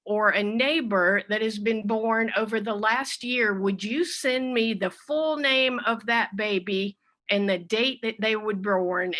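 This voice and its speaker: A woman speaks as a service leader, at a middling pitch. She gives informal announcements to a congregation, addressing them directly. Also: bright, forceful tone.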